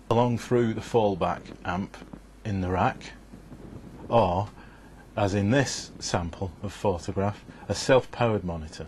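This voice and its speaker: A man's voice: fairly low pitch, a little mellow, slight background noise. A man giving a scripted, methodical instructional narration in an explanatory way.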